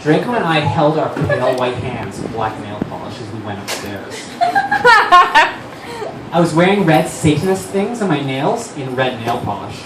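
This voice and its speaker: A man's voice: medium pitch, wildly swooping pitch, unhurried. A man giving a theatrical, overdramatic comic reading of a story on stage. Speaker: writer-director and actor, performing reader. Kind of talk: comic dramatic reading of fan fiction. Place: on stage at a live fundraiser. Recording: echoey distant stage recording, noisy.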